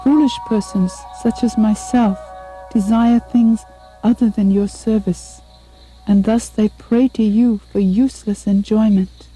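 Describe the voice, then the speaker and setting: A woman playing a humble, devout boy prince in a devotional puppet show, speaking slowly and earnestly in a soft, breathy voice. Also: medium pitch, steady tone, soft background music, lightly acted, low-quality recording. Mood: reverent. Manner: slow, solemn, earnest recitation.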